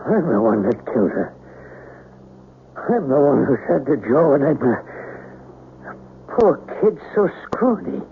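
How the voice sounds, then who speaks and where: A man's voice: fairly high pitch, very animated, unhurried, pauses often. An actor playing a grim, guilt-stricken man out to avenge his niece in a radio mystery drama, speaking bluntly and colloquially. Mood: broken, guilty. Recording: narrow, faintly hissy old radio broadcast.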